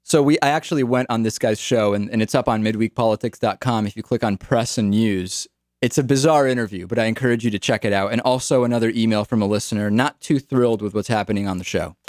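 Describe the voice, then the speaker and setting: A man's voice: on the low side, quick pace, a little mellow, no room sound. Young male radio host, casual wry delivery, close dry mic. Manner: casual, wry, repeats words. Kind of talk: talk radio monologue.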